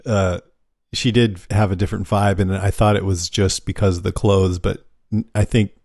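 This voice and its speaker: A man's voice: low voice, steady tone, moderate pace, darkish tone, dry recording, clean studio sound. A man hosting a podcast in casual chat, laid-back and joking. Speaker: podcast host and panel moderator.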